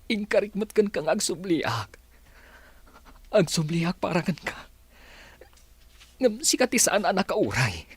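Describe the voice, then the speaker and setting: Give me a heartbroken, reproachful lover with big pitch swings. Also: male voice, big pitch swings, unhurried, pauses often, slightly rough, no echo, exaggerated voice. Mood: anguished, pleading. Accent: northern Philippine. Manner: emotional, pleading. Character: heartbroken, reproachful young man, a rejected lover. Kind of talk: radio melodrama acting.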